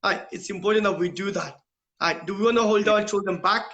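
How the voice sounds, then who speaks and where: A man's voice: high-pitched, a little fast, fairly bright tone, lo-fi sound. A middle-aged British man giving earnest interview answers as a school principal, often posing questions and checking with "right?". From United Kingdom. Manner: rhetorical questions, tags points with "right?". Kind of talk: earnest, explanatory interview talk.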